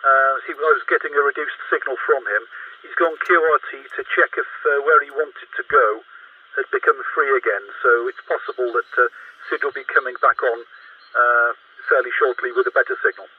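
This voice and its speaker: Guy on cb radio, thin tinny distant sound, highish voice, bit of static. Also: fairly even intonation, fairly quick, husky. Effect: two-way radio transmission. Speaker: CB radio operator. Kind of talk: casual radio chat.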